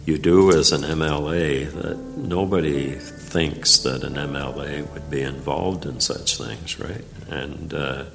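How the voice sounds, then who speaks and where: Very deep, gravelly older man. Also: fairly even intonation, fairly bright tone, faint music behind. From Nova Scotia, Canada. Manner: halting, many "uh" fillers and restarts. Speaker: former provincial legislator. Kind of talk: interview storytelling.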